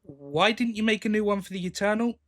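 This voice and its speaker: A man's voice: sits high, expressive, fairly quick, a bit bright. A man co-hosting a podcast, chatting casually about news. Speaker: podcast co-host. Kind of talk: casual chat about sci-fi audio drama news.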